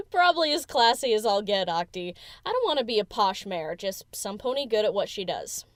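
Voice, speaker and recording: Woman playing a cheeky, animated young pony DJ. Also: mid-range voice, normal speed, dry recording, clean studio sound, close to an everyday voice. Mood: easygoing. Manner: casual, slangy, cheeky. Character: brash, teasing young female DJ pony. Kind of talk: acted fan fiction audio drama.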